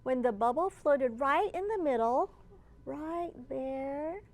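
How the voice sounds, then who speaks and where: Slow, high-voiced woman reading storytime. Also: a little mellow. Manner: slow, encouraging, asks listeners simple questions. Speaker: children's librarian leading storytime. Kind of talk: read-aloud storytime for young children.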